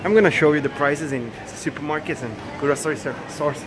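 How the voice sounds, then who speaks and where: A young man in his twenties with a Turkish accent, filming a casual vlog on his phone as he walks through a supermarket, talking haltingly with many fillers and self-corrections. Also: a little high, background noise. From Turkey. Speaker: vlogger. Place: large supermarket indoors. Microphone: handheld phone camera. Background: store ambience.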